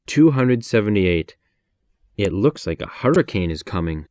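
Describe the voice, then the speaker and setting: Low-voiced American man reading drills. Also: sing-song, darkish tone, dry recording, studio quality. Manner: clear, measured reading. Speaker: English lesson reader. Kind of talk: numbered sentence reading for repetition practice.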